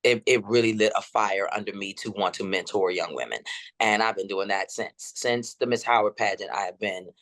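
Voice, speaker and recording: A woman in her fifties with a deep, rolling voice, telling her story dramatically as an interview guest. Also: normal speed. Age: 50s. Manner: dramatic and expressive.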